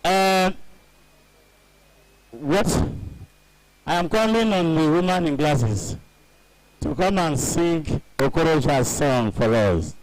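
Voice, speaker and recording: Slow master of ceremonies. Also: male voice, fairly high pitch, lively intonation, rough recording. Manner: halting, announcing to the crowd.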